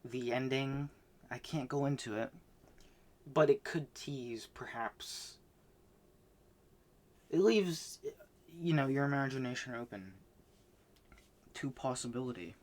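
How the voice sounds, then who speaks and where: A man casually recapping the manga he read this month, rambling with many fillers and restarts, swinging between loud bursts and soft mumbles. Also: mid-range voice, slow, pauses often. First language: not Japanese. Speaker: manga reviewer.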